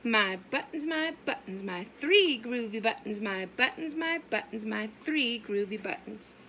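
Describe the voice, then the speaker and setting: A woman reads aloud at a children's story time in a high, bright voice. She goes slowly, with sing-song exaggeration and questions to her young listeners. There is faint noise behind her. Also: animated. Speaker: preschool teacher reading aloud.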